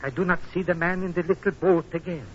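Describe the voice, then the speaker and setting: An actor playing an earnest foreign ship captain, telling his story dramatically in halting, formal phrases in 1940s radio style. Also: high voice, fairly even intonation, dull audio, natural voice. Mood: worried. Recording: noisy, band-limited 1940s radio broadcast.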